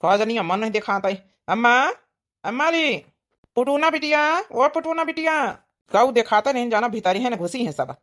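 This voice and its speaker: Woman playing exasperated Awadhi husband, high-pitched. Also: expressive, normal speed, lightly acted. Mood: weary. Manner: grumbling, berating. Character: tired, exasperated working village husband. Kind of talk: cartoon village comedy acting.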